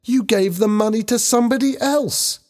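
A man's voice: mid-range voice, big pitch swings, moderate pace, mellow, pitched above the performer's own voice. A man voicing an angry, defensive teenage schoolgirl in a story, indignant and exclaiming. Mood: furious.

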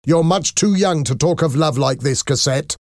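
A man playing an anxious, protective father in an audiobook, speaking with forceful, incredulous emotion. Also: low voice, lively intonation, normal speed, creaky, husky, no room sound, studio quality, exaggerated voice. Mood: angry, hurt. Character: angry, protective, anxious father of a grown daughter.

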